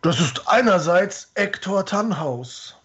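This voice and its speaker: A man in his thirties giving a halting, wry, casual explanation on a slightly dull-sounding video call. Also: high-pitched, lively intonation, a bit bright. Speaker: stream guest and co-writer. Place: room at home, video call.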